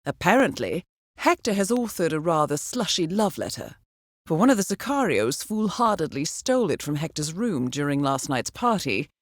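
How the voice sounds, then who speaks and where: A composed female intelligence handler in a spy video game, speaking on the lower side in a close, dry recording. Her delivery is formal and clipped, with expressive, swooping intonation and a wry edge as she guides an assassin. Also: moderate pace, no echo. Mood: dryly wry. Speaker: mission handler. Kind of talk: scripted video game briefing voice acting.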